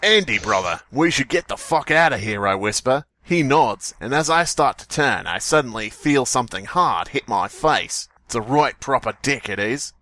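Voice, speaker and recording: A man's voice: medium pitch, expressive, moderate pace, hardly any pauses, pushed and bright. A man co-hosting a comedy podcast, reading a story aloud in a jokey, sweary way and breaking off to mock the text. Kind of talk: comic read-aloud with casual riffing.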